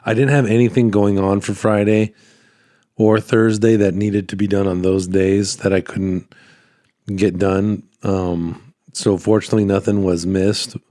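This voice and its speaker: A man hosting a casual solo podcast, rambling and halting, with a slightly hoarse voice that cuts in and out as he recovers from being sick. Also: on the low side, little pitch movement, warm tone, dry recording, studio quality. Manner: rambling, halting, repeats phrases. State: hoarse, voice cutting in and out after illness. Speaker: podcast host, small business owner.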